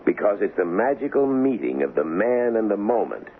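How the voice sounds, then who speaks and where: Casting: shrewd male party boss for vintage radio drama, gravel-throated and very animated, folksy salesman's patter, muffled old broadcast sound. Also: lowish voice, normal speed, slight background noise. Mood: blunt, urging. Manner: glib, wheedling salesman's patter, wry. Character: shrewd, folksy male political kingmaker, cynical and pushy.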